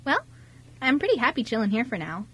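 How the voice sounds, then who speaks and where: Woman playing a high-voiced, bubbly teenage girl. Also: very animated, brisk, a bit bright, husky, exaggerated voice. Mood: sincere. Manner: chatty, eager, giggly. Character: bubbly, friendly fifteen-year-old girl working in family cafe. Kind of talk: amateur radio drama dialogue.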